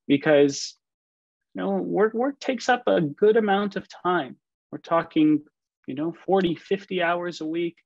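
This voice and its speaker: A man's voice: on the high side, vocal fry, a little mellow, no echo. A man giving a prepared talk as a guest speaker, earnest and leaning on "you know", over a video call. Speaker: guest speaker, software engineer. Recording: dull dry call audio.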